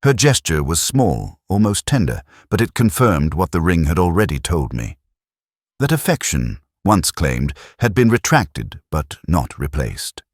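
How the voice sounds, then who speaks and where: A man giving a measured, deliberate first-person reading of a literary detective story, as its narrator. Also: deep voice, very animated, dry recording, clean studio sound.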